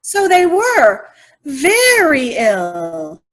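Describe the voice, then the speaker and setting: A woman playing a sleepy, feeble little dormouse in a readers' theater storybook piece, with slow, squeaky, drawn-out speech. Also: huge pitch swings, fairly bright tone, exaggerated voice. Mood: sleepy storytelling. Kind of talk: readers' theater of children's classic.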